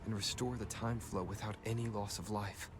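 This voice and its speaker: A man acting a calm, resolute young wanderer hero in a video game. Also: fairly low pitch, normal speed, lightly acted. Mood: grave, deliberate. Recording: background music under dialogue.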